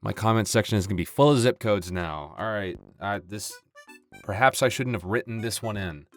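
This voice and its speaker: A man with a deep voice talks casually to his listeners as an audio creator. He rambles in a dry, joking, self-deprecating way, with soft music behind him. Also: moderate pace. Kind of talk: casual, joking outro chat.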